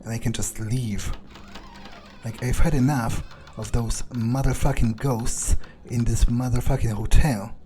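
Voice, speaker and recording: A man playing a video game in character, musing aloud in an understated, deadpan and slightly hesitant way. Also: medium pitch, a little slow, a little hiss or hum. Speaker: gamer playing a vampire in character.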